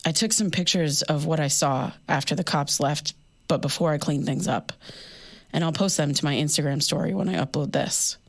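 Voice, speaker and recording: A young woman playing a guarded, shaken podcaster in an audio drama, talking in a halting, conversational confessional monologue. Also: low-pitched. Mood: matter-of-fact, uneasy.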